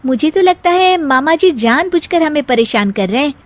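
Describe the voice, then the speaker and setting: A woman voicing a polite, clever young daughter-in-law in an animated comedy story, speaking sweetly and respectfully. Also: sits high, moderate pace. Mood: helpful, confiding.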